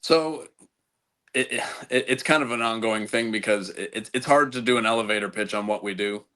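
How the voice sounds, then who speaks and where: A middle-aged man from the United States talks as a podcast guest, his voice sitting low. He speaks in a casual, halting way, doubling back on words and restarting sentences as he recalls his Army career. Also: sharp tone. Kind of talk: casual conversational interview.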